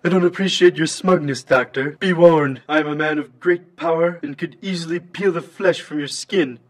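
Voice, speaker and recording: A man acting as a pompous supervillain prince with a robotic, fairly high voice. He speaks in stiff, formal, grandiose sentences, like a self-important comic-book tyrant in an audio comedy. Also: moderate pace, natural voice. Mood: menacing. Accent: odd claimed Latvian. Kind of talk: scripted comedy character acting.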